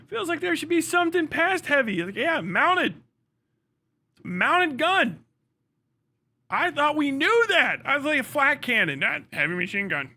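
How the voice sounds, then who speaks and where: A man livestreamer giving casual commentary, ranting in a sarcastic, animated way with lots of swearing. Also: extremely high pitch, slow pace, pauses often.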